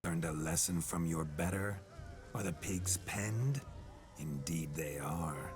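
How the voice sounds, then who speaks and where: An actor playing a gruff, flirtatious man possessed by a noble ghost, with a deep voice that drops near a whisper. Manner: slow, wry, seductive drawl. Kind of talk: video game cutscene voice acting. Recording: game soundtrack music behind.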